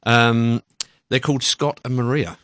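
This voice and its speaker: A man's voice: medium pitch, steady tone, measured pace, a bit bright, no echo. A man hosting a radio show, chatting casually and in a rambling, conversational way as he introduces new music, close on a studio microphone.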